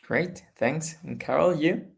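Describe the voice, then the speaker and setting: A man's voice: fairly high pitch, slow pace, vocal fry, slightly rough. A man moderating a student panel, often repeating words as he frames his questions. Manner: stumbling, repeats words while framing questions. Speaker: interviewer and moderator.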